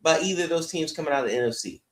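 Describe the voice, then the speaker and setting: A man's voice: a little high, animated, racing, a bit bright. Echoey, opinionated male sports podcaster. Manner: opinionated, blunt. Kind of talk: casual sports commentary. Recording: echoey room sound.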